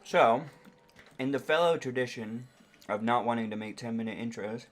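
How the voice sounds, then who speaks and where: A young man bantering casually as a podcast co-host, sardonic and quick to swear. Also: medium pitch. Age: young adult. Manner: sardonic, profane.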